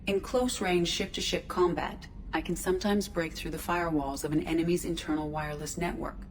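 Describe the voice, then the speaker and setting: A woman voicing a starship artificial intelligence in a video game, measured, precise and detached in manner. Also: lowish voice, fairly even intonation, lightly acted. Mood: calm, detached. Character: calm, formal female starship artificial intelligence. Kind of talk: scripted video game dialogue. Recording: clear background noise, ship ambience.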